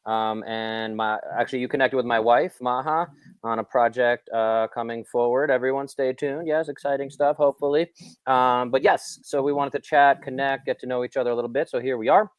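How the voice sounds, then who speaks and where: A man hosting a podcast who makes relaxed, friendly small talk and reads a sponsor message. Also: mid-range voice, brisk, smooth, no echo. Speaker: podcast host. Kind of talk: casual interview hosting with sponsor read.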